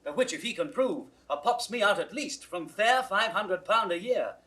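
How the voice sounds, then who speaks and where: An actor playing a blunt, irreverent young gentleman in bantering, theatrical British Shakespearean style. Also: sits high, animated, moderate pace. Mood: mischievous. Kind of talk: 1960s classical Shakespeare audio drama acting.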